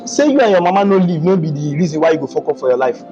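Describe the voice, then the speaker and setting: A male talk show host with a Nigerian Pidgin accent, animated and exclaiming often as he chats with a guest in English and Pidgin. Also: fairly high pitch, rapid-fire, muffled recording, music in the background. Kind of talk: casual interview chat.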